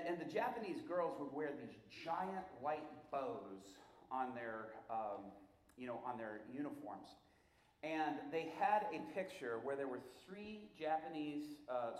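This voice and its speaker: Middle-aged man teaching in an echoey room. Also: mid-range voice. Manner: conversational, halting, often restarts and repeats himself. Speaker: church workshop teacher. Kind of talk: informal teaching talk to a group.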